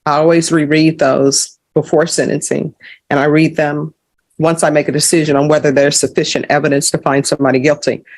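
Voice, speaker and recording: A woman presiding as judge in a courtroom, speaking in a measured, procedural way. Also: deep voice, level tone, darkish tone.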